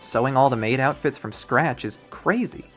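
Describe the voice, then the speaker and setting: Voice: medium pitch, normal speed, a bit bright, close to an everyday voice. Someone doing a young visual novel lead, casual and a bit awkward, eager, questioning, music behind. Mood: friendly, curious.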